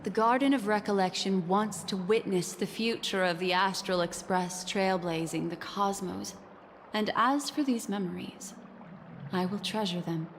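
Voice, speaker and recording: An actress playing a poised, mysterious, soothing confidante in a video game, with a measured, coy and formal delivery. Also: female voice, medium pitch, a little hiss or hum, lightly acted. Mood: earnest, soothing. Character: poised, mysterious woman, soothing fortune-teller-like confidante and schemer. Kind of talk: scripted video game voice acting. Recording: long reverb, music behind. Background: game music.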